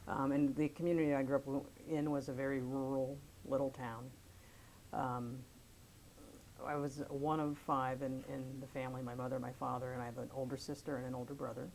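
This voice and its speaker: A woman in her fifties from Pennsylvania, an attorney, recounting her life story in an oral history interview with a measured, careful manner. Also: a bass voice, level tone, creaky, darkish tone, a little hiss or hum, low-quality recording.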